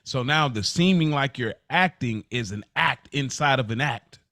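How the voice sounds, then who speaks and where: A man's voice: medium pitch, animated, moderate pace, sharp tone, dry recording, studio quality. An American man co-hosting a podcast, debating casually in a slangy, conversational way and often interjecting. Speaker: podcast co-host. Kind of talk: casual debate between friends.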